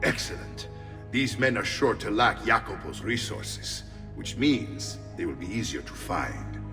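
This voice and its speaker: A blunt mercenary uncle. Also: male voice, fairly low pitch, very animated, a little slow, in a large hall, music in the background. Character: gruff middle-aged male mercenary uncle, blunt. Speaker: hero's uncle, mercenary leader.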